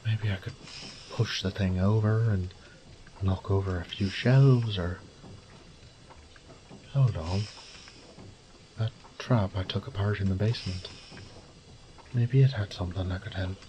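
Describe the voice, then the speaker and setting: A man playing a wry, resourceful thief in an audio drama, muttering gruffly and cursing to himself. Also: sits low, a little slow, long pauses, dark tone, rough recording. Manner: gruff, sardonic, swearing under his breath. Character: wry, foul-mouthed adult male thief, resourceful under pressure.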